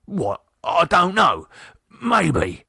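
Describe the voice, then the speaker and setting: A man voicing an anxious working-class husband, speaking in nervous, halting, pleading bursts. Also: high-pitched, huge pitch swings, creaky, pushed and bright, slightly rough, dry recording, studio quality, voice pushed up, heavily acted. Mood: worried.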